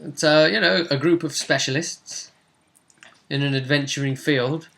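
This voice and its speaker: A man's voice: fairly high pitch, steady tone, measured pace, creaky, fairly bright tone. A man casually discussing a tabletop role-playing game as its game master, often checking with tag questions.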